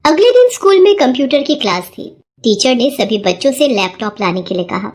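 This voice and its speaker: A woman narrating a children's moral story in an even storybook manner. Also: on the high side, brisk.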